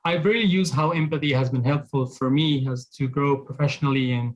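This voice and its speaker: A man from Afghanistan in his thirties, speaking as a guest in a casual interview. He talks in a halting way, with frequent restarts and fillers. His voice sits at an ordinary middle pitch.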